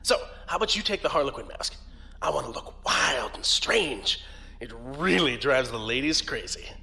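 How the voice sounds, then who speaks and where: A man's voice: mid-range voice, very animated, moderate pace, creaky, bright, forceful tone, exaggerated voice. A man playing a brash, fun-loving young space fleet officer in an audio drama, with a casual, cajoling manner. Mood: playful, eager. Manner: casual, wheedling, swooping.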